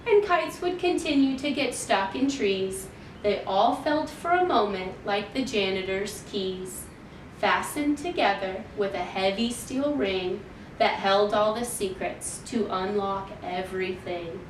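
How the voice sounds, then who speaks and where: A woman reading a rhyming picture book aloud to young children in a measured, deliberate storytelling manner. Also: lively intonation. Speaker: children's library storytime reader. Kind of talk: expressive picture-book read-aloud in rhyme. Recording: roomy, distant mic, faint noise and rumble.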